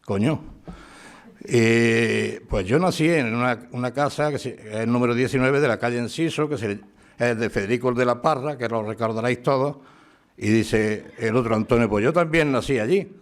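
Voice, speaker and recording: A man's voice: medium pitch, normal speed. Chatty man telling a story. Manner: chatty storyteller, acts out dialogue. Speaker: speaker giving biographical sketch. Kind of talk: informal public talk at a tribute. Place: town hall event room.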